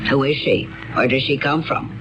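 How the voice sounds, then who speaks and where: A man's voice: medium pitch, moderate pace, lightly acted, poor audio. An actor playing an eager, insistent male live news reporter in a radio drama, with a theatrical delivery. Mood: dramatic. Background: prominent music.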